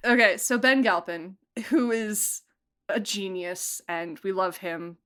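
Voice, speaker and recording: Woman, podcast guest, mid-pitched voice, chatty, effusive, quick to laugh, casual interview talk. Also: a bit bright, clear, resonant tone. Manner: chatty, effusive, laughs often while talking. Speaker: podcast guest, audio drama producer and director. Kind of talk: casual behind-the-scenes interview chat.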